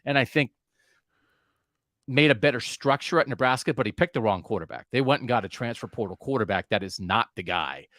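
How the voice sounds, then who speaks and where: Animated male sports podcast host. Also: mid-range voice, fairly quick, no room sound, studio quality. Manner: animated, asks questions. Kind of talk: casual sports talk.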